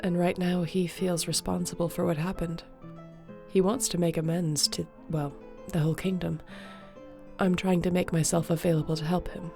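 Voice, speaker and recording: A court sorceress speaking gently to a companion, performed by a woman. Her voice is lowish and mellow, with soft music playing underneath. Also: level tone, moderate pace, creaky. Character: warm, loyal female court sorceress. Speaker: audio roleplay narrator. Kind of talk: scripted audio roleplay.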